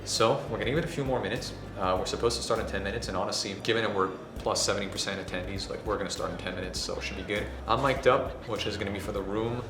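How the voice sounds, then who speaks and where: A man with a hoarse, recovering voice, talking casually to camera as he gets ready to host a workshop, swearing and keeping his voice soft. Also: lowish voice, rapid-fire, hardly any pauses, background noise. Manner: casual, swears, talks softly to spare his voice. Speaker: ads agency owner hosting a workshop. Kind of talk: casual vlog talk to camera. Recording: echoey room.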